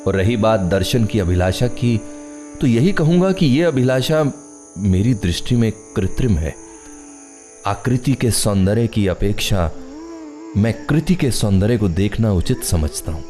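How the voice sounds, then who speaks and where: A man's voice: fairly low pitch, lively intonation, a little slow, mellow. An actor playing an aloof, philosophical man who lives alone, speaking formal, literary lines in a radio play over music. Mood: lofty, philosophical. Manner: formal, literary, argumentative diction.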